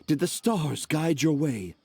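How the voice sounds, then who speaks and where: Flamboyant high-voiced storyteller man. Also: lively intonation, a little slow, vocal fry, warm tone, breathy edge, no room sound, exaggerated voice. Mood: relieved, grateful. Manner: theatrical, pompous, florid. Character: flamboyant, dreamy storyteller father, grandiose and poetic. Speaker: children's author. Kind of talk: video game voice acting. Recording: music behind voice.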